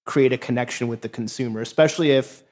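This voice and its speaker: A man's voice: mid-range voice, fast talker, a little mellow. A man hosting an interview, asking long, rambling questions and correcting himself as he goes. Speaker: podcast host.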